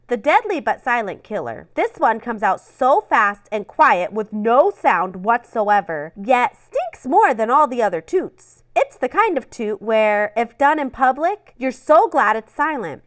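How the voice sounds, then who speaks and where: A woman reading a funny children's picture book aloud in a playful, animated way, with little acted asides. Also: on the high side, no room sound, studio quality. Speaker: storybook reader.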